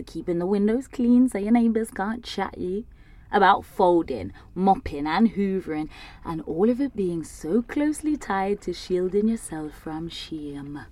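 A woman's voice: mid-range voice, no room sound, close to an everyday voice. A woman playing an anxious, wry young woman in an audio drama monologue, speaking candidly and conversationally in a London British accent. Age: young adult. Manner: candid, self-mocking, sweary, animated.

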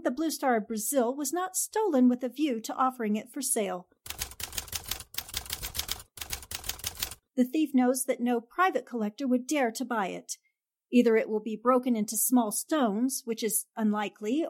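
A woman plays a confident, tactful newspaperwoman in a vintage 1940s radio play. Her voice sits a little high, and she speaks in polite, composed, articulate sentences. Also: moderate pace, close to an everyday voice. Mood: businesslike. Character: poised, tactful, confident female newspaper columnist. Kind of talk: 1940s radio drama acting.

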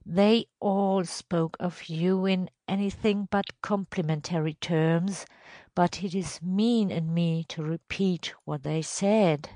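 A woman voicing a reluctant, deferential male village elder in a storybook reading, reporting in a measured, hesitant way. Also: lowish voice, warm tone, no echo. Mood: uneasy.

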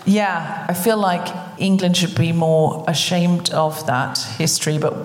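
A woman's voice: fairly low pitch, steady tone, unhurried. Woman hosting in a reverberant hall. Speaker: podcast host. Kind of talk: casual live podcast conversation. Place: indoor live venue stage. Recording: reverberant live hall with audience.

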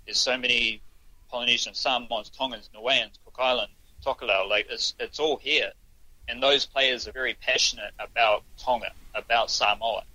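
A man's voice: medium pitch, steady tone, normal speed, sharp tone, husky, dry recording, low-quality recording. A man, a sports journalist guest on a podcast, talking casually in short restarts. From New Zealand. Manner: thoughtful, talks in short restarts.

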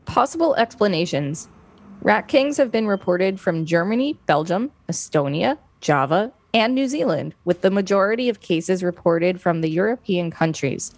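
A woman's voice: fairly low pitch, lively intonation, moderate pace. A woman co-host reading an encyclopedia article aloud, with casual asides now and then.

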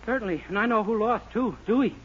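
Naive young hopeful, played by a man: high, fast, earnest, for radio comedy; muffled, crackly vintage sound. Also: close to an everyday voice. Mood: guileless. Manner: guileless, earnest, boyish. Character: naive, eager young man seeking a newspaper job. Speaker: comic actor in radio show. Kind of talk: 1940s radio sitcom acting. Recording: very noisy, dull 1940s radio recording.

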